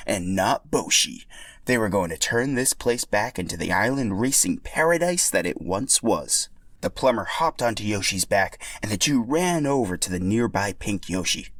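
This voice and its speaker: A man's voice: fairly low pitch, a bit bright, dry recording. A man narrating a scripted story as a podcast storyteller.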